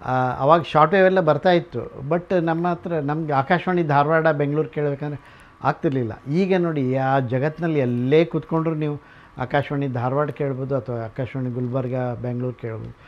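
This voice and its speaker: A man's voice: a little high, steady tone, normal speed, muffled recording. A man giving an informal explanatory tour as a museum owner, mixing English phrases into his speech.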